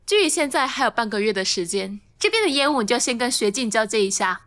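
A woman acting a composed, frank office manager in a radio drama, businesslike and measured. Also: fairly high pitch, lively intonation, fairly bright tone. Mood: approving.

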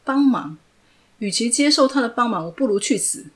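A woman's voice: on the low side, animated, moderate pace, lightly acted. A woman voicing a stubborn, proud young shop owner who speaks firmly and indignantly. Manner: firm, indignant, raised voice.

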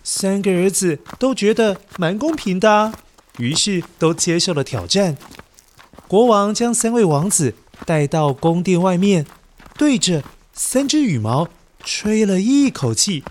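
A man narrating a children's story in an animated, scripted storytelling style. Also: sits high, a little slow. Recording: music behind the voice. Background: music.

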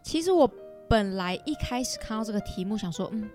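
A woman's voice: mid-range voice, lively intonation, fairly quick, a little mellow, faint music behind. A woman in her twenties speaking casually as a podcast guest. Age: 20s. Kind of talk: casual chat.

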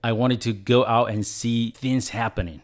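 A man in his thirties from Taiwan with a Taiwanese accent, a native Chinese speaker, talking haltingly as a guest in a radio studio interview. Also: medium pitch, fairly even intonation. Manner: halting, with restarts and fillers. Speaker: guest filmmaker. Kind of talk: casual interview.

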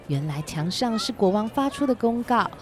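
A woman's voice: mid-range voice, expressive, moderate pace, darkish tone, a little hiss or hum. A woman narrating a scripted children's story as its storyteller. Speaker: storyteller and host.

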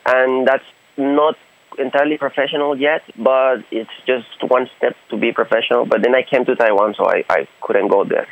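Young honduran guy, footballer, halting answers, sounds like an old phone line. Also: medium pitch, fairly even intonation, vocal fry. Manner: halting, searching for words. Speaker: guest footballer. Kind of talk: radio interview.

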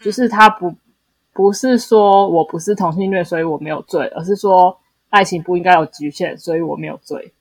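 Low-pitched Taiwanese woman chatting about books. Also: brisk, slightly rough. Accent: Taiwanese Mandarin. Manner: hesitant, self-correcting explanations with many repeated affirmations. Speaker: podcast co-host. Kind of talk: casual book discussion.

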